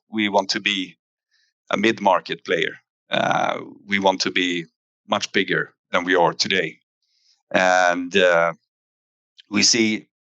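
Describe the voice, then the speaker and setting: A man giving a company investor presentation in a halting way, pausing often with fillers. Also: low voice, sharp tone, no echo. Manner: halting, hesitant delivery with frequent fillers. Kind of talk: investor earnings presentation, semi-scripted.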